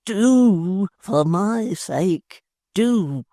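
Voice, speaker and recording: A woman's voice: mid-range voice, lively intonation, takes it slowly, dry recording, studio quality, voice pushed up. A woman voicing a vain young male apprentice, urgent and coaxing.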